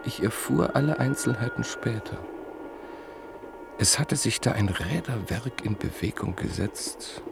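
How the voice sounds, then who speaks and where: A man narrating a literary radio drama in a measured storytelling manner. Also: mid-range voice, animated, creaky, over music. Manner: measured storytelling, reporting speech indirectly.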